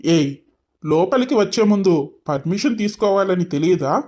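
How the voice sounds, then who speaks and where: A man's voice: high-pitched, lively intonation, normal speed, darkish tone. Man acting an arrogant, short-tempered young boss. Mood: irritated, threatening. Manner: curt, mocking, mixes in English phrases. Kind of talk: dramatized story dialogue.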